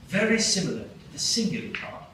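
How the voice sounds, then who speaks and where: A man narrating a live radio play in a measured storytelling manner. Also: sits high, sing-song. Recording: echoey live stage from far off, with noise.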